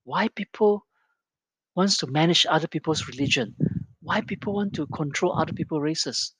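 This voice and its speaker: A man's voice: fairly high pitch, normal speed, a bit bright, a little hiss or hum. A man in his fifties from Malaysia, giving an earnest and reflective personal monologue with rising emphasis.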